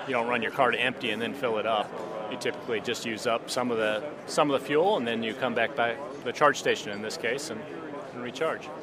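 Older man explaining charging, crowd behind. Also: medium pitch. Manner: plain technical explaining, halting. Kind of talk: explanatory interview.

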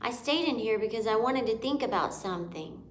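A woman voicing a calm, serious, thoughtful young boy mouse who has been ill, in a storybook. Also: fairly high pitch, brisk, slight background noise, lightly acted. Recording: echoey room.

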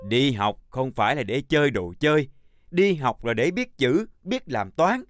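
A man playing a stern, patient older chalk schoolteacher in a children's cartoon, speaking in a measured, instructive way. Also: mid-range voice, very animated, natural voice.

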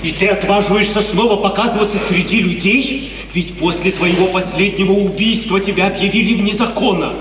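Man playing high-voiced, nervous servant man. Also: fairly quick, recorded from a distance, close to an everyday voice. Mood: frightened. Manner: anxious, pleading. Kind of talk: 1950s Soviet radio play acting. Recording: echoey, hissy old 1950s radio recording.

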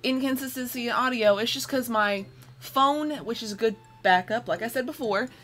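A young woman with a high, bright voice plays through a game. She talks to herself and her viewers casually, tossing in slang and playful exclamations. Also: moderate pace, faint music behind. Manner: animated self-talk, playful exclamations and slang. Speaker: gaming video host. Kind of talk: casual let's play commentary with facecam. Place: small room indoors at home. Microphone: separate mic with phone as backup.

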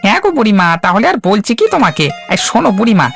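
Creaky, high-pitched persuasive village potter man acting. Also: sing-song, rapid-fire, fairly bright tone, soft background music, exaggerated voice. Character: friendly village potter, persuasive male tradesman. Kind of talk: Bengali cartoon voice acting.

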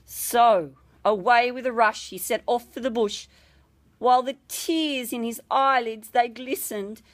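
A woman reading a ballad expressively, with measured, dramatic storytelling. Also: a little high. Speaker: storybook reader.

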